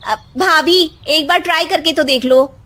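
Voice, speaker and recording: A woman's voice: high-pitched, moderate pace, bright, forceful tone, pitched above the performer's own voice. A woman voicing an exasperated, bossy young married daughter, chiding and insistent in a comic family story. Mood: insistent advice.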